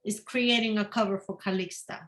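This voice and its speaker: A woman's voice: mid-range voice, steady tone, a little fast, a bit bright. A woman whose first language is not English, a guest author in a casual interview, often repeating and restarting her words.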